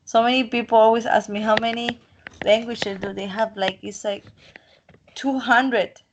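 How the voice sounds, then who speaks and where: A woman's voice: on the high side, steady tone, normal speed, dull audio, noisy background. A woman from the Colombian coast, a native Spanish speaker, chatting in English with a Colombian accent, talkative and self-assured. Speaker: interviewee. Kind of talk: casual chat.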